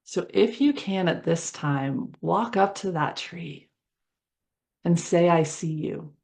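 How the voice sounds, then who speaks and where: A woman leading a guided meditation in a soothing, conversational way, speaking in short phrases with pauses between them. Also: lowish voice, lively intonation, creaky, a little mellow. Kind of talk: guided meditation, gentle improvised visualization.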